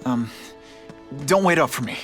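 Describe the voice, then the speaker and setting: A man's voice: medium pitch, expressive, moderate pace, a bit bright, soft background music, natural voice. A young man playing a guilt-ridden student superhero in a video game, with a hesitant, conversational delivery. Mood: worried. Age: young adult.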